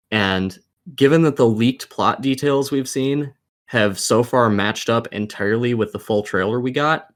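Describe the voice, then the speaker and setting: Guy with a lowish voice going off on a gaming podcast, sweary and fed up, really hammering his points. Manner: sweary, exasperated, emphatic. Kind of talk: heated opinion rant in a casual group chat. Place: remote voice call over the internet.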